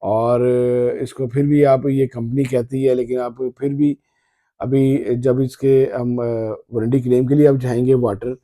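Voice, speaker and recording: A man with a very dark, soft-edged, mellow voice at a middling pitch. He talks casually as a tech vlogger presenting a product, haltingly and often saying a phrase again. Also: level tone. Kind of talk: casual phone unboxing commentary.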